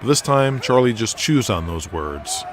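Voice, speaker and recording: A man's voice: mid-range voice, very animated, normal speed, a crowd in the background, background noise. A man reading scripted narration for an audio drama. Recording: music bed behind voice. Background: music.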